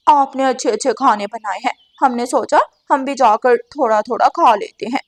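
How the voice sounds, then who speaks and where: Smooth-talking cartoon crow wife, woman performer, high pitch, always scheming. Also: moderate pace, close to an everyday voice. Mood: persuasive. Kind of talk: Hindi cartoon story dialogue.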